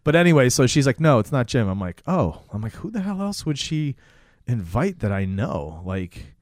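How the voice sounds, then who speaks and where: A man's voice: medium pitch, very animated, normal speed, a little mellow, dry recording, studio quality. A man co-hosting a podcast in casual, sweary, bro-ish chat. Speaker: podcast co-host. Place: small podcast studio.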